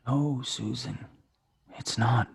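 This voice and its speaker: An actor playing a wicked supernatural murderer, taunting with mock reasonableness in a screenplay read. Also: male voice, mid-range voice, fairly even intonation, slow pace, gravelly, slightly rough, no room sound, clean studio sound, full character voice.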